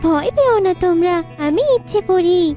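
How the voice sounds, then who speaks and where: Wish fairy woman performing, storybook cartoon: benevolent, gentle, very high register. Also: animated, moderate pace. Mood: grateful, kind. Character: kind, grateful wish fairy freed from a flower. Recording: music behind the voice.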